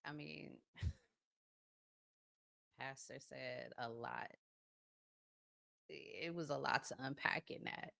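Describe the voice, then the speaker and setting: A woman hosting a commentary vlog, talking conversationally in deliberate phrases broken into short pieces. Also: rumbling low, lively intonation, creaky, bright, forceful tone. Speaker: host.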